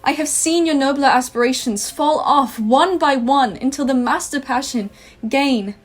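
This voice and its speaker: A woman's voice: high-pitched, lightly acted. A woman playing a wounded young fiancée. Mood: sorrowful. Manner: measured, dignified reproach. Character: young woman, gentle but hurt fiancée. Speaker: stage actor. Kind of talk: school stage play acting. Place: school theatre stage.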